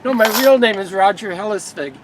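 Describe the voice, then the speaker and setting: A man's voice: high voice, a little fast. A man who tells stories at a festival, chatting in a jovial way outdoors. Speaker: festival storyteller. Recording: outdoor noise, voice a bit off mic.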